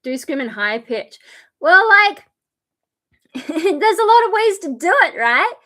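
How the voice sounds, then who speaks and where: A woman's voice: very high-pitched, sing-song, slow, sharp tone. A tired woman streamer and metal vocalist chatting casually, explaining screams with lots of fillers and harsh scream demonstrations. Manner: explains loosely with many fillers, breaks into harsh unvoiced scream demos. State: tired, voice worn to fry.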